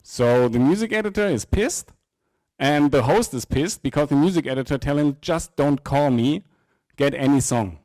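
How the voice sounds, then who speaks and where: A middle-aged man giving an informal live technical presentation, often halting and repeating words. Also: fairly high pitch, animated, a little mellow, no room sound. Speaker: software presenter. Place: indoor presentation room with small audience. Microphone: handheld microphone.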